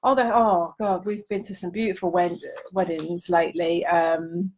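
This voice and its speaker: A woman's voice: fairly low pitch, fairly even intonation, moderate pace, a little mellow, slight background noise. Chatty woman in casual conversation. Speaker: co-host and wedding photographer. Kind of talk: casual question-and-answer chat.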